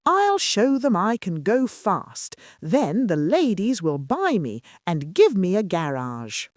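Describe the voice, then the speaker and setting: A woman's voice: medium pitch, huge pitch swings, takes it slowly, dry recording, studio quality. A woman voicing an earnest, hopeful little old car in a children's bedtime storybook, with a childlike, wishful manner. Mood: determined, hopeful.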